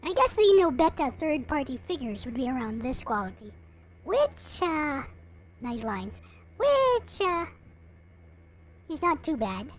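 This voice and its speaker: High-pitched woman livestreamer, slow and lilting. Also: big pitch swings. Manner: chatting casually to viewers, replying to comments. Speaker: livestream host. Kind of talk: casual livestream chat showing collectible figures.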